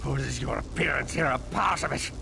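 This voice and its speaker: A man playing a bitter, anguished spirit caught between life and death in a fantasy game, gravelly and theatrical. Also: medium pitch, a little fast. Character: bitter, anguished tormented spirit, neither living nor dead. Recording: loud background noise and ambience.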